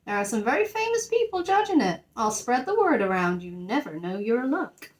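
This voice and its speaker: A woman's voice: on the high side, very animated, moderate pace. A woman voicing a good-hearted but exasperated male building-site foreman in a children's storybook reading. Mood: friendly. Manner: incredulous, complaining.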